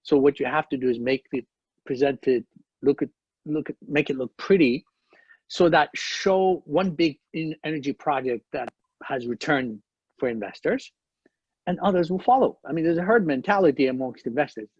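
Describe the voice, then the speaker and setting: Husky-voiced man speaking on a dull video call. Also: on the high side, animated, darkish tone, no echo. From Ethiopia. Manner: measured, explanatory, with frequent restarts. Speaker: conference panelist. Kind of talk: panel discussion.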